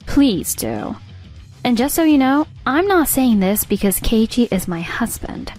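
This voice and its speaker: Manga dub role: firm, pointed office leader woman performer, fairly high voice, composed authority, soft music behind. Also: very animated, unhurried. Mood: cool, lecturing. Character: composed, firm female team leader defending her husband. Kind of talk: scripted manga dub acting.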